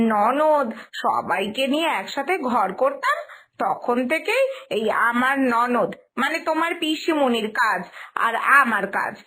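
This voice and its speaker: A woman's voice: a little high, sharp tone, exaggerated voice. A woman voicing a fussy, stubborn older mother-in-law in a cartoon story, explaining herself firmly.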